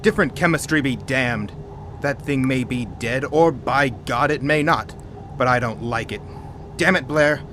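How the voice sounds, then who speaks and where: An actor playing a gruff polar researcher in an audio drama, blunt, vehement and sardonic. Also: male voice, medium pitch, background noise, lightly acted.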